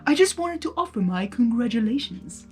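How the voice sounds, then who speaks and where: Storybook zebra, female reader: wise, teasing matchmaker, playful delivery, lively high voice. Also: normal speed, soft background music. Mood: warm greeting.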